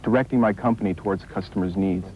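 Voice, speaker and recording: Fast-talking deep young man. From Canada. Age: 20s. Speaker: young entrepreneur. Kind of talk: interview answers. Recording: slightly dull broadcast audio.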